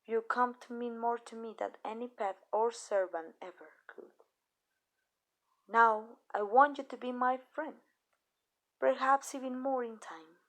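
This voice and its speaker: A woman playing a possessive yet tender female vampire mistress in an intimate ASMR roleplay, speaking softly and deliberately with hesitant pauses. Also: fairly high pitch, lightly acted. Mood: possessive, affectionate.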